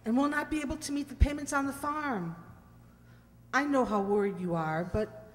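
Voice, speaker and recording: A woman's voice: sits high, moderate pace, close to an everyday voice. A woman playing a worried, supportive farm wife in an old-time radio drama, earnest and pleading, on a hall stage. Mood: concerned. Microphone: stand microphone. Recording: echoey hall stage recording.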